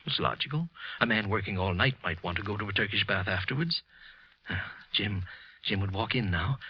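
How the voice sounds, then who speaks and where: Tense, guilt-ridden murderer in old radio. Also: male voice, lowish voice, slightly rough. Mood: brooding. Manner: tense, halting, repeating himself. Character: guilt-ridden, frightened office clerk narrating his murder. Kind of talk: 1940s radio suspense acting, first-person narration. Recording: muffled old radio recording.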